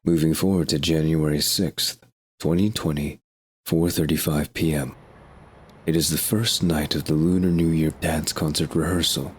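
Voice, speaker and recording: A man's voice: very deep voice, steady tone, normal speed, a little hiss or hum. A man reading scripted narration for an audio drama.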